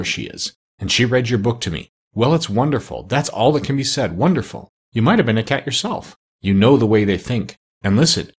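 A man's voice: medium pitch, slightly rough. A man voicing a folksy, uneducated, scheming male meat seller who talks colloquially and persuasively, in a storybook.

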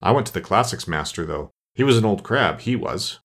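A man's voice: fairly low pitch, lively intonation, brisk, fairly bright tone. A man voicing a brusque mythical Griffin from a storybook, bossy and impatient, with colloquial speech. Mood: offhand. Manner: gruff-worded, impatient, colloquial.

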